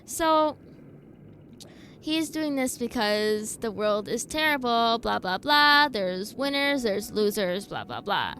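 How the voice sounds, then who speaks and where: A woman's voice: fairly high pitch, big pitch swings, slow, a bit bright, a little hiss or hum. A woman streaming a game, giving casual, informal reaction commentary as she thinks aloud in a skeptical way.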